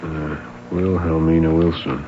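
A man's voice: very deep voice, flat delivery, unhurried. A man in his thirties playing a dogged young police detective in a 1970s radio drama. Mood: puzzled, probing. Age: 30s. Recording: muffled, noisy old radio broadcast.

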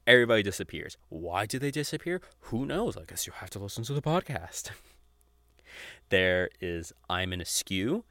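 Young man, very low voice, casual podcast host, informal, sweary, chuckly, close dry sound. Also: very animated, normal speed, a bit bright, dry recording. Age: 20s. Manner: informal, profane, chuckles often while talking. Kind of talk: casual, unscripted podcast recommendations.

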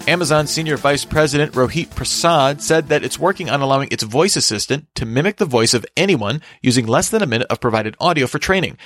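A man reading scripted tech news as a podcast host in a matter-of-fact, measured way. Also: medium pitch, a bit bright, no echo, studio quality.

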